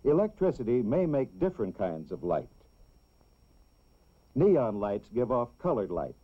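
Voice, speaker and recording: A man's voice: medium pitch, big pitch swings. Male educational film narrator, dull lo-fi soundtrack. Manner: measured, clearly explanatory delivery for children.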